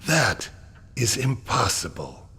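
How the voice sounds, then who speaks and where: An actor playing a cold, threatening male conspirator who speaks formally and slowly in a game cutscene. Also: medium pitch, big pitch swings, vocal fry, bright, forceful tone, husky, full character voice. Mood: cold menace. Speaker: shadowy superior giving orders.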